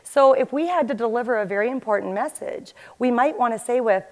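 A woman in her forties speaks as an agile coach at a conference, her voice sitting a little high. She talks animatedly and gestures toward the audience. She is picked up on a headset mic in a large hall. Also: brisk. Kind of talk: conference presentation.

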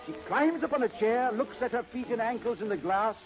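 A narrator reading scripted story narration in 1930s radio style. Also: female voice, a little high, animated, a little fast, natural voice. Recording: muffled old radio recording with music.

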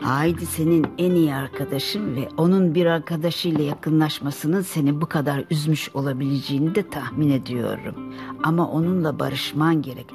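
An actress playing an elderly village grandmother in an animated children's series, stern yet caring and measured. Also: low-pitched, music in the background. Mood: gently stern. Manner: measured, admonishing.

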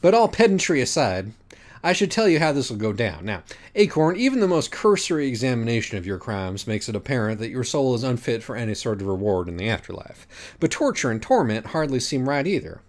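A man's voice: medium pitch, sing-song, fairly quick, natural voice. A man voicing an aloof, theatrical man who judges sins in an audiobook, pedantic and self-important. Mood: stern, aloof. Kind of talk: audiobook character voice.

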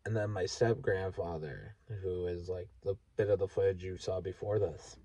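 Husky low-voiced man, halting. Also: fairly even intonation, mellow, dry recording, far from the mic. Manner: halting, frank, sweary, with sighs and pauses. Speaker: vlogger. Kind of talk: candid personal vlog monologue. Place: inside a parked vehicle at night.

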